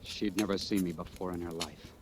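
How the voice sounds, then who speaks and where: A man's voice: low voice, fairly even intonation, fairly quick, lightly acted. A man playing a desperate, defiant American fugitive in old radio drama style, clipped and urgent. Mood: grim narration. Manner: hard-boiled, clipped, urgent. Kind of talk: 1940s-50s radio drama acting and narration. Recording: old radio broadcast with music.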